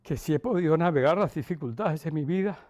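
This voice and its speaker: A man in his sixties with a Cuban accent softened by Castilian Spanish, reminiscing in an interview in a measured way, with pauses and emphatic repetition. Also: fairly high pitch. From Cuba. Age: 60s, about 64. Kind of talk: reflective personal reminiscence.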